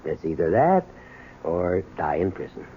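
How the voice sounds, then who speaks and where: Through a tinny, noisy old radio recording comes an embittered American working man. He is gruff and blunt, and his pitch moves around a lot in radio drama style. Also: big pitch swings, unhurried. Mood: bitter, subdued. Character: embittered working-class gas station owner, resentful son. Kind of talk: 1970s radio drama acting.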